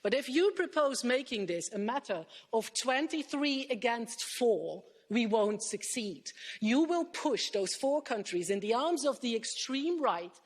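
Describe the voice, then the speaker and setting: A woman's voice: fairly high pitch, big pitch swings, measured pace, hardly any pauses. Woman giving a parliamentary speech. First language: Dutch. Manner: earnest, appealing for unity, firm on her concern. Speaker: member of parliament. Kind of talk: prepared floor speech. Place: parliament plenary chamber. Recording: reverberant chamber.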